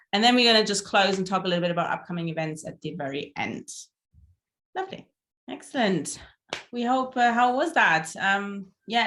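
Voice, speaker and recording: A woman's voice: mid-range voice, fairly bright tone. German-accented woman hosting an online meeting. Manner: friendly, chatty, frequent fillers and restarts. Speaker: meeting host. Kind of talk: casual online meeting talk. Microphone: video call.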